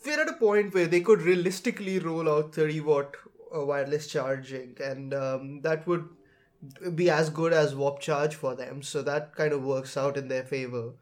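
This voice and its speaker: A man with a somewhat higher-pitched voice hosts a tech podcast. His delivery is conversational and informal, like a discussion among colleagues. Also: normal speed. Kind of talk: casual tech and gaming discussion.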